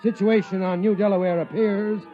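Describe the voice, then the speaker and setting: A man's voice: high-pitched, steady tone, normal speed, soft background music, close to an everyday voice. A man acting a clipped, formal government inspector dictating an official report in a 1950s radio drama. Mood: formal, businesslike. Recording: muffled vintage radio recording.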